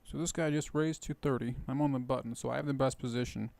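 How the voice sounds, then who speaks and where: Hoarse man talking poker strategy. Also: medium pitch, steady tone, quick pace, a little mellow, no room sound. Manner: explains strategy, thinks aloud with fillers. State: hoarse, rough voice. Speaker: poker video host. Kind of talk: casual poker commentary over gameplay.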